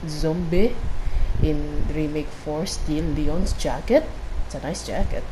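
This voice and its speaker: A woman's voice: deep voice, takes it slowly, background noise. A woman with a Filipino accent chatting casually through gameplay commentary, drifting into digressive asides. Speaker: gaming streamer. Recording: loud game music behind voice. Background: game music and dialogue.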